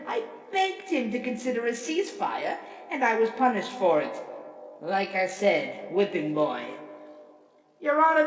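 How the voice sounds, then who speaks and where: A woman voicing a vain, grandiose robot leader testifying in court in a theatrical, pompous, oratorical dramatic reading. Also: high voice. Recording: echoey hall reverb, distant, music behind.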